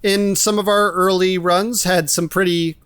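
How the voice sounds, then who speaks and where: A man's voice: high-pitched, fairly even intonation, unhurried, fairly bright tone, clean tone, no echo. A man co-hosting a podcast, bantering about films in a wry, casual way. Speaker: podcast co-host.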